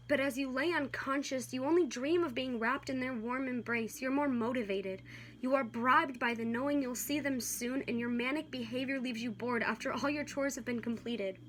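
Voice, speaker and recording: A young woman with a high-pitched voice recites slam poetry. Her delivery is earnest and dramatic, carried by a steady spoken-word rhythm. It is an amateur recording with a little noise behind her. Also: normal speed.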